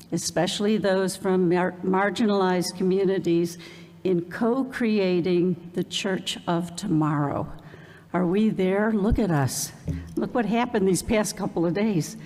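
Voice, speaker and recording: A woman hosts a parish evening, reading out welcomes and an introduction at an unhurried, deliberate pace. Her mid-range voice carries the long reverb of a church hall. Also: mid-range voice, a little mellow. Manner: slow, deliberate, with ums. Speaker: event host introducing the speaker.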